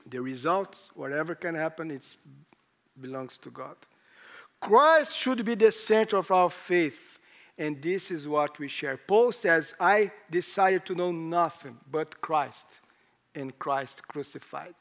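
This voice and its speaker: A hoarse man preaching a sermon in English, speaking in halting phrases with rising emphasis. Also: on the high side, animated, a bit bright. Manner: slow, halting delivery with pauses and rising emphasis; non-native English with grammar slips.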